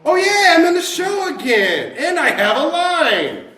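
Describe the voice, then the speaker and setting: A man's voice: very high voice, huge pitch swings, measured pace, bright, forceful tone. A man performing in a live comedy sketch. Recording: echoey room, far from mic.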